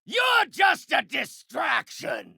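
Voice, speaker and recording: A man's voice: fairly low pitch, animated, a little slow, a bit bright, dry recording, clean studio sound, exaggerated voice. A male actor voicing a brilliant, bitter middle-aged scientist turned vengeful villain in a video game, with articulate, theatrical delivery. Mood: furious. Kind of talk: dramatic video game acting, isolated voice lines.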